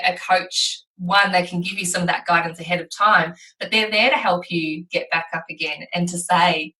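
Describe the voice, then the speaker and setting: An Australian woman hosting a casual podcast chat, her voice low-pitched yet bright and forceful. She talks her way through ideas with plenty of restarts. She sits a little back from the mic. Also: far from the mic, poor audio. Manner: chatty, searching for words, with frequent restarts. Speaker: podcast host.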